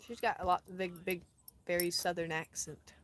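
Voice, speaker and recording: A woman from Colorado chatting casually on a live stream outdoors in the desert, rambling, laughing often and dropping into soft asides. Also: lowish voice, fairly even intonation, normal speed, a little hiss or hum. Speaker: van-dwelling traveler streaming live.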